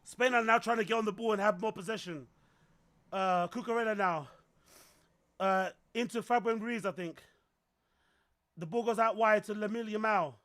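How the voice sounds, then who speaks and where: A man reacting live to a football match, excitable and yelling and swearing at the screen. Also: high voice, normal speed, pauses often. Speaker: streamer host.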